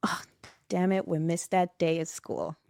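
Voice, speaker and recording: A middle-aged woman from West Africa, a podcast guest in a reflective conversation, telling animated stories, laughing often and acting out the voices she quotes. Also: fairly low pitch, a little slow, creaky, clean tone, dry recording, clean studio sound.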